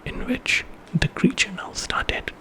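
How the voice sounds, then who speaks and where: A man's voice: slow, low-quality recording. A man telling a story in whispered ASMR style, informally, as if making it up with casual asides.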